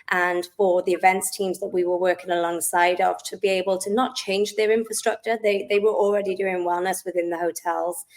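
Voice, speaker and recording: A woman from South Wales with a Welsh accent, a guest in a casual interview, talking in a friendly, chatty way with frequent ums. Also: fairly low pitch. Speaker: interview guest, wellness business founder.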